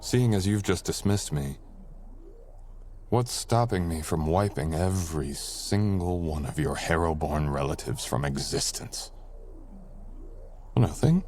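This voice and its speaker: A man's voice: sits low, dark tone, slight background noise. A man playing a powerful, dangerous shadow being, a smug and possessive boyfriend, in an intimate ASMR audio roleplay with dramatic, teasing delivery. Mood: menacing, amused.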